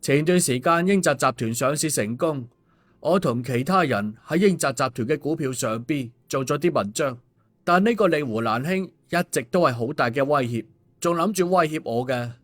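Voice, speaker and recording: A man's voice: on the high side, animated, normal speed. A man voicing a glib, cheeky male schemer in an audiobook, teasing and mock-sincere with exclamations. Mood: mock innocent. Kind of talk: audiobook dialogue.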